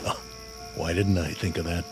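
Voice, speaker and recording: An actor playing a war-weary, humane army sergeant in a radio drama, dry and sarcastic with a folksy manner. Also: male voice, deep voice, level tone, normal speed, close to an everyday voice. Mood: dryly sardonic. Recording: radio drama with faint music underneath.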